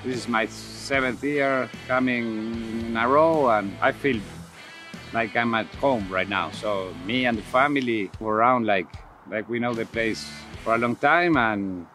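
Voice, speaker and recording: Argentine-accented man, bright voice, hesitant short answers, guitar music behind. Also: medium pitch, unhurried. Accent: Argentine Spanish. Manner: soft, hesitant, repeats words. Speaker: polo player. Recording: music bed under voice.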